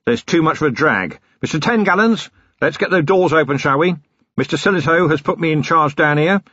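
A male narrator voicing a gruff, tired but commanding city police commander in an audiobook, giving brisk, clipped orders. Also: fairly high pitch, lively intonation, a bit bright, no echo, dull audio, pitched above the performer's own voice.

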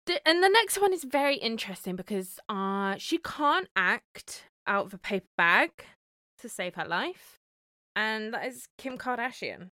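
A woman co-hosts a casual podcast, and her voice is bright and sharp, with a lively pitch that swings up and down. She laughs all the time, and her sound is dry and close to the mic. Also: measured pace, dry recording. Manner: laughs constantly, animated. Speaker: podcast co-host. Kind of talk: casual chat.

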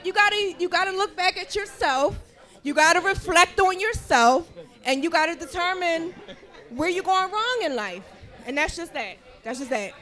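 Blunt Philly woman, high voice. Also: sharp tone. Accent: Philadelphia. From Philadelphia, USA. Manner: blunt, profane, laughing, preachy. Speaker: podcast co-host. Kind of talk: live podcast talk before an audience.

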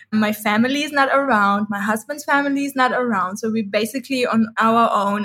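A woman's voice: fairly high pitch, a bit bright. A woman in her thirties whose first language is Dutch, chatting as an interview guest in a rambling way, full of "like" fillers. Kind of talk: casual interview chat.

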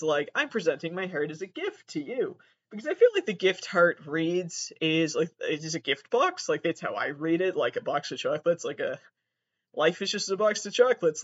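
Male podcast host, North American, notably high voice. Casual, profane, self-mocking solo rambler, recorded dull on a laptop. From Massachusetts, United States. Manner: self-deprecating, profane, digressive. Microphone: built-in laptop microphone.

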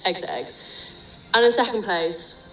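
A woman's voice: medium pitch, unhurried, a bit bright, rough recording. Chatty young woman on student radio. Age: young adult. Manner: chatty, jokey, opinionated. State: croaky voice. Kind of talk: casual radio chat.

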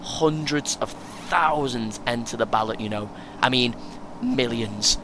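A man playing a chatty, excitable, over-prepared first-time runner in an audio play, speaking in clipped short phrases. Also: mid-range voice, measured pace, natural voice. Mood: keen, boastful. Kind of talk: naturalistic audio play acting. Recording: clear background noise, vehicle ambience.

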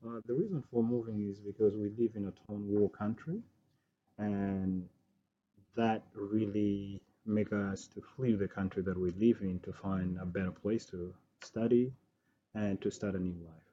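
A man from Sudan who works as a school learning support officer, answering interview questions in a measured, slightly halting way. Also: fairly even intonation. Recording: dull low-fidelity school recording.

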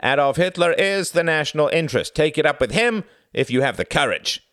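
Guy voicing sneering fascist hecklers, lazy drawl, raised higher than his own voice. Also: animated, fairly quick, a bit bright, dry recording, studio quality.

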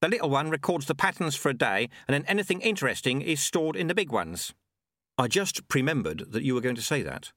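A man's voice: medium pitch, big pitch swings, a little fast, fairly bright tone, no echo, clean studio sound, voice pushed up. A man voicing an old male sweeper monk, blunt and folksy, who mutters and drops his grammar. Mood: confiding.

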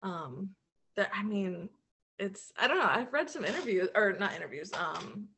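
A woman co-hosting a podcast, chatting casually and haltingly as she thinks aloud. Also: mid-range voice, sing-song, a bit bright, breathy edge. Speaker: podcast co-host. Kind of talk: casual chat about shows and comics.

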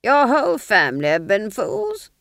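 A woman's voice: mid-range voice, wildly swooping pitch, normal speed, creaky, a bit bright, no echo, clean studio sound, pitched above the performer's own voice, full character voice. A woman voicing a scheming middle-aged male duke who commands with falsely friendly, silky menace. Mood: silkily coaxing.

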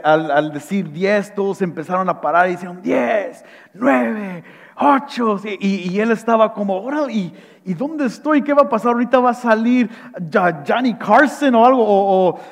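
A man preaching an animated sermon, swinging between loud excited voices and soft hushed lines. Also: sits high, vocal fry. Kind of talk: animated sermon with acted-out anecdotes. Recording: long hall reverb.

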